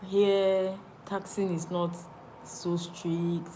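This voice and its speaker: A woman's voice: medium pitch, little pitch movement, drawn-out words, mellow, a little hiss or hum, rough recording. A young Nigerian woman with a Nigerian accent, chatting casually on a live stream, reading viewers' comments aloud and answering them, often repeating phrases as she thinks.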